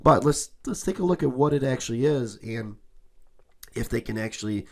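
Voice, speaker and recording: A young man with a cold and a slightly raspy voice, hosting a casual crypto channel and talking loosely over his screen, often tacking on "okay?". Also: medium pitch, lively intonation, normal speed, a little mellow. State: has a cold, a bit raspy. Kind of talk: casual screen-share commentary.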